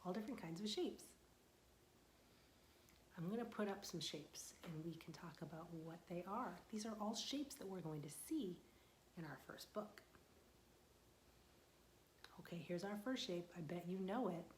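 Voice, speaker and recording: A young woman librarian leading a storytime for young children, asking questions in a sing-song voice and dropping to soft whispers for suspense. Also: on the low side, lively intonation, a little slow, long pauses, a little hiss or hum.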